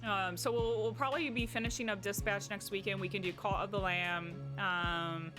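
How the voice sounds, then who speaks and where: A young woman with a light Midwestern accent chats with her stream audience in a loose, rambling way, restarting sentences and leaning on "like". Her voice sits at an ordinary middle pitch, with quiet music in the background. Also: steady tone, moderate pace. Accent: Midwestern American. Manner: chatty and rambling, heavy on "like" and restarts. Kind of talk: casual stream chat.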